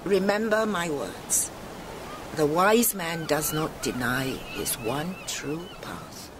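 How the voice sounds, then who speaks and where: A performer playing a dignified, wise Chinese woman in a radio drama, formal and measured. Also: mid-range voice, expressive. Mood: serene, formal. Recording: loud ambient background noise.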